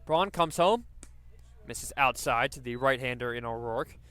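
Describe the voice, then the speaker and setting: A man's voice: medium pitch, measured pace, a bit bright, smooth, dry recording. A man doing live radio baseball play-by-play, describing the action and working in stats as he goes. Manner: descriptive, stat-laden delivery.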